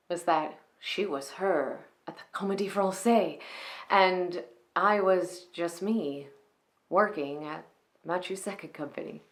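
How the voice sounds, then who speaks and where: A woman playing an earnest, flustered young shopgirl in a romantic comedy film monologue, hesitant, confiding and wistful. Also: lowish voice. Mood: wistful, rueful.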